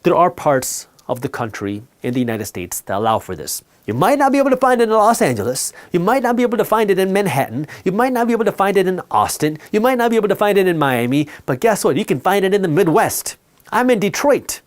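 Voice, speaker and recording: A man's voice: high voice, sing-song, quick pace. A man whose first language is Punjabi, explaining money matters to camera in a blunt, direct, emphatic way. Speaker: finance educator and host.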